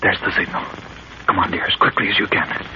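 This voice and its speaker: A steady young rescuer in an old radio adventure, reassuring and guarded. His voice is deep and level. The recording is old, muffled and noisy. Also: brisk.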